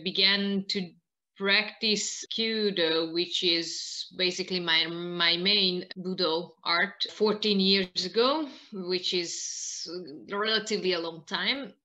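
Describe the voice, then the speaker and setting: A woman in her thirties with a Hungarian accent, answering interview questions in halting non-native English on a video call. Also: lowish voice, bright, forceful tone, muffled recording. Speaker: interview guest, martial arts practitioner. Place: small living room indoors, on a video call.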